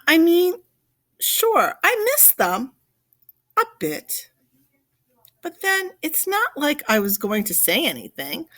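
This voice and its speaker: Female storybook reader: unhurried delivery, very lively intonation, dramatic pauses, stretched key words for young listeners. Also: high voice. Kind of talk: expressive read-aloud of a picture book.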